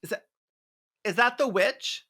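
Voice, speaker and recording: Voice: medium pitch, unhurried, sharp tone. Sarcastic, campy video commentator. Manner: incredulous, mocking, campy slangy delivery. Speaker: video host, film reviewer. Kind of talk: sarcastic comedic movie commentary.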